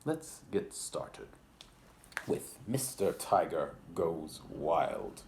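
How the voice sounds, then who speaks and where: A man's voice: low voice, big pitch swings, drawn-out words, gravelly, darkish tone, a little hiss or hum. A man hosting a children's picture book read-aloud, speaking with exaggerated, theatrical politeness and chatty asides. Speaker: library storyteller and host.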